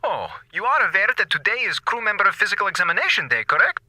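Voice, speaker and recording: An actor playing a polite, insistent space station doctor with formal, precise phrasing in a radio drama. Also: male voice, high-pitched, sing-song, fast, sharp tone, dry recording, full character voice. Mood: politely insistent.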